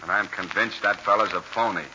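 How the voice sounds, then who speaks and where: An actor playing a gruff, skeptical middle-aged police commissioner in a brusque 1940s radio drama style. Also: male voice, on the low side, fairly even intonation, fairly quick, lightly acted. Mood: brusque, suspicious. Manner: brusque, authoritative. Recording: muffled, noisy 1940s radio recording.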